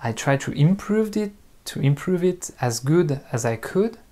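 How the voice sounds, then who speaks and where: French-accented male host, unhurried and halting, frequent filler pauses, off-the-cuff talk to viewers. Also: fairly high pitch, animated, dark tone. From France. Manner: hesitant, pausing often with ums and uhs. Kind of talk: unscripted talk to camera.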